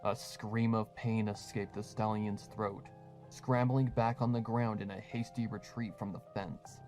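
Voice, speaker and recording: A man giving a dramatic, ominous, deliberate scripted narration of a horror story. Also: lowish voice, level tone, darkish tone, faint music behind.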